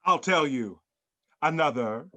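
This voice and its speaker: Someone doing a gloating mad professor villain, sharp voice, swoops around a lot, sci-fi stage reading. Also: medium pitch, expressive, unhurried. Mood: smug, menacing. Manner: theatrical, gloating, given to maniacal laughter. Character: gleeful, taunting time-traveling mad scientist villain, a professor. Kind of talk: live dramatic reading of a sci-fi screenplay.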